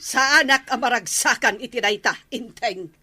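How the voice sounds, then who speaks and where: An actress playing the nagging, exasperated wife of a village captain in a radio comedy drama. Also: female voice, fairly high pitch, normal speed, sharp tone.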